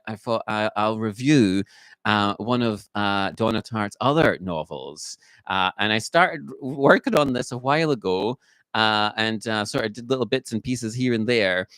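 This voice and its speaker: A man giving an unscripted book review in a halting way, full of fillers and restarts, a little croaky from a cold. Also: medium pitch, fairly bright tone, dry recording. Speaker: book reviewer, channel host.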